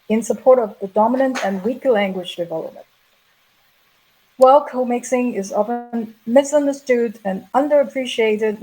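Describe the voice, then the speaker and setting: A middle-aged woman giving a measured, explanatory plenary lecture at an academic conference. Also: on the high side, a little mellow, breathy edge, poor audio. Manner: measured, explanatory, reading prepared remarks. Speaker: plenary speaker.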